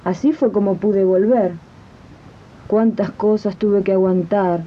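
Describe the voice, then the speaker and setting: A woman's voice: mid-range voice, fairly even intonation, unhurried, close to an everyday voice. A woman playing a mistreated young country housemaid in a radio drama, pleading and deferential. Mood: sorrowful. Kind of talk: 1980s community radio drama acting. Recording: dull, lo-fi archival radio tape.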